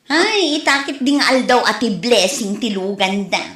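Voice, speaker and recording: A woman's voice: a little high, lively intonation, a little slow, a bit bright, echoey room. A woman with an Ilocano accent playing a boastful, easily offended village woman in a comedy audio drama, exclamatory and teasing. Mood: indignant, mocking.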